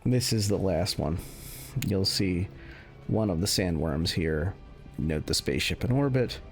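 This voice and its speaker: Male gaming creator, deep creaky voice, halting off-the-cuff explainer commentary, soft music bed. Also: animated. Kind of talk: casual unscripted benchmark commentary.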